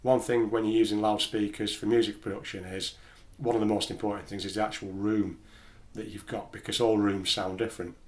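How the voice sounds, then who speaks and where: A man with a slightly low voice and very little rise and fall in pitch. He talks like the host of a home gear video, explaining things casually and plainly, carefully qualifying what he says. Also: flat delivery, brisk, hardly any pauses. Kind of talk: casual explainer talking to camera.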